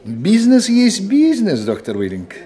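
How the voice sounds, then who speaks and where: High, swooping fussy theatre producer. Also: male voice, huge pitch swings, measured pace, dark tone, full character voice. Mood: self-justifying. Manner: effusive, repeats himself. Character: fussy, self-serving male theatre producer. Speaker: radio play actor. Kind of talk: theatrical Russian radio play acting.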